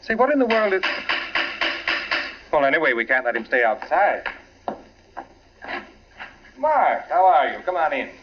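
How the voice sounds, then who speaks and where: An actor playing an uneasy, inquisitive young husband who forces a casual tone, in a 1940s radio drama on a dull old recording. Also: male voice, a little high, very animated, a little slow, long pauses, faint music behind. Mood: puzzled, hospitable.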